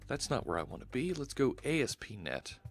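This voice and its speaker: A man's voice: mid-range voice, big pitch swings, a little fast, faint music behind. A man in his forties with a Philadelphia accent, hosting a casual coding live stream through a headset and thinking aloud with lots of fillers. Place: home studio, indoors.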